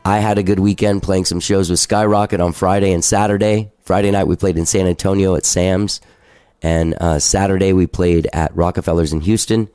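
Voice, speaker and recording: A man's voice: sits low, fairly even intonation, brisk, mellow, smooth, no room sound. A male podcast host with a stuffed-up nose, chatting casually and loosely, swearing now and then and laughing at himself.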